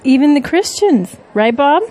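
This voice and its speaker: A loyal female political confidante, with a fairly high voice. She is level-headed and measured, gently cautioning in audio drama style. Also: expressive.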